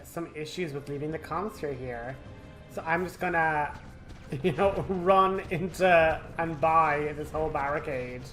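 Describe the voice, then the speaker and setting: A man's voice: fairly high pitch, fairly bright tone. A man hosting a game walkthrough in a casual, chatty style with wry asides. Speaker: gaming walkthrough host.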